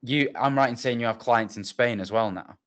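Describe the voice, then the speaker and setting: A man hosting a podcast interview, reflecting in a relaxed way and asking follow-up questions. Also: medium pitch, fairly quick. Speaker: podcast host. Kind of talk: casual interview.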